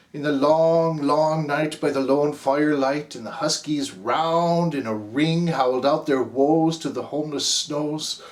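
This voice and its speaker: Theatrical Irish-accented man reciting a poem. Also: mid-range voice. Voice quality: rhythmic, theatrical, sweeping delivery. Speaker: narrator as the character Cap.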